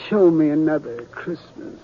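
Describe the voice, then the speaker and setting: A man playing a frightened, regretful old rancher in an old-time radio Western, halting and pleading. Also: on the high side, lively intonation, a little hiss or hum, natural voice. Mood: distressed pleading. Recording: tinny vintage radio, faint music.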